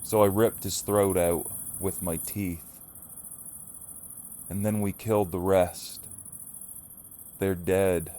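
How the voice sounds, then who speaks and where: A grim leader of survivors , acted by a man for an audio drama. His voice sits very low and he talks slowly, weighing his words with wary intensity. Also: steady tone, mellow. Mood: grim, confiding.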